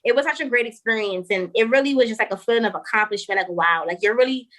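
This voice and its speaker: A young woman with a Trinidadian accent, a rapper chatting quickly and laughing often as a guest on a video call. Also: on the high side, a bit bright. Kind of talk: casual interview chat.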